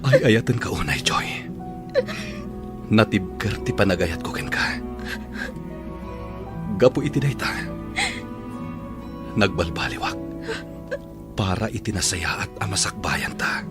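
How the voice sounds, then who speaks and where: Low-voiced, remorseful lover. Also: male voice, animated, slow, long pauses. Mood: devoted, tender. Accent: Ilocano. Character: remorseful, devoted businessman pleading with his long-lost love. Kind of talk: emotional radio drama acting. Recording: radio drama with loud music bed.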